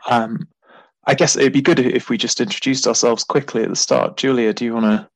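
A man's voice: mid-range voice, fairly even intonation, a little fast, creaky, husky, no echo, rough recording. A man explaining his company's work as a director and interview guest. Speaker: client services director, podcast guest.

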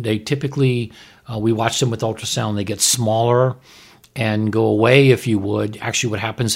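Male doctor podcaster, husky low voice, emphatic and frank, conversational explainer. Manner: emphatic, plain-spoken, frank. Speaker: doctor co-hosting a medical podcast.

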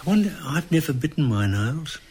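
A man with an Australian accent hosts a casual comic podcast. He speaks in a somewhat high male register and keeps a joking, teasing manner. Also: sing-song, brisk, a little mellow, a little hiss or hum. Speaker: podcast host.

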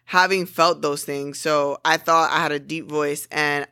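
A woman's voice: low-pitched, fairly even intonation, unhurried, sharp tone, smooth, no echo, clean studio sound. A woman co-hosting a casual podcast conversation, forward and intense in the way she talks. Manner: forward, intense, self-described aggressive tone. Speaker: podcast co-host.

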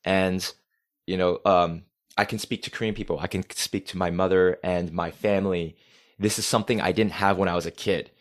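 An energetic man, a podcast guest talking casually and swearing freely. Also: low-pitched, moderate pace. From United States. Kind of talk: casual conversation.

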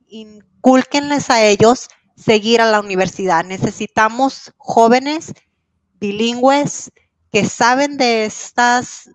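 A woman's voice: a little high, no echo, rough recording. A woman guest in an interview, speaking earnestly and encouragingly. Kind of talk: interview answers.

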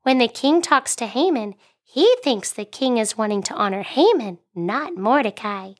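A woman's voice: fairly high pitch, lively intonation, normal speed, natural voice. A woman voicing a cheerful young male monkey who tells a Bible story on a school radio show. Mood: storytelling. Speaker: segment host.

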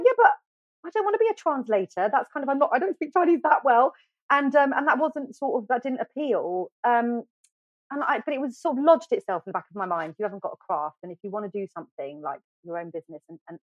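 Chatty, animated British woman guest. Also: a little high, dry recording. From United Kingdom. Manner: animated, chatty, self-deprecating, many fillers and restarts. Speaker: podcast guest, florist. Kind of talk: casual storytelling interview. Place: podcast studio. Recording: dry, slightly dull studio sound.

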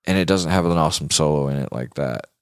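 Male talk show host, low-pitched, dry sound, informal and slangy guitar chat with emphasis. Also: quick pace, clear, resonant tone, dry recording.

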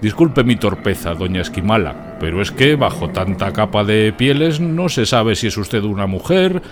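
A man's voice: lowish voice, expressive, moderate pace, slight background noise, lightly acted. An actor playing a bossy, easily exasperated Wise Man king in a comic radio play, by turns scolding and flowery in his politeness. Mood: courteous.

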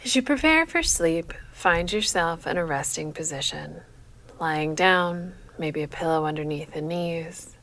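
A woman leading a guided sleep meditation as a yoga nidra guide, speaking in a measured, soothing, unhurried manner. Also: low voice. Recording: faint steady background noise.

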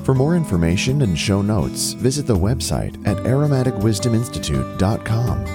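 Lively low-voiced male announcer over guitar. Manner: smooth, measured announcer delivery. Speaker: podcast announcer. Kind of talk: scripted intro voice-over.

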